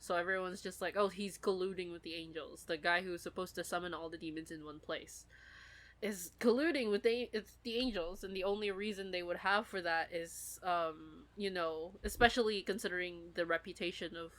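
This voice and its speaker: A woman's voice: lowish voice, normal speed. A woman in a casual, rambling fan discussion, talking as a podcast co-host.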